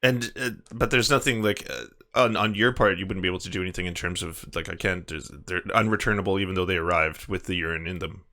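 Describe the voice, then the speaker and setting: A man's voice: sits low, fast talker, few pauses, pushed and bright. A man making a prank phone call, complaining with earnest, indignant insistence. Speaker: prank caller posing as churchgoing parent.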